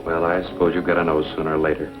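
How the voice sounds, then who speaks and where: An actor playing a menacing crook in an old western film, smooth-talking with a threatening edge. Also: male voice, low-pitched, completely flat delivery, rapid-fire, lightly acted. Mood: coaxing. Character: menacing male crook coaxing and threatening a boy. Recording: muffled vintage film soundtrack, music underneath.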